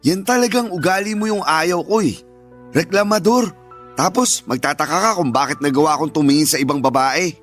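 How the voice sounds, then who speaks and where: High-voiced, whiny young husband played by an actor. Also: male voice, brisk, a bit bright, faint music behind, natural voice. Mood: nagging, irritated. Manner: whining, defensive. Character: lazy, selfish young cheating husband, whiny and shameless. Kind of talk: Filipino radio drama acting.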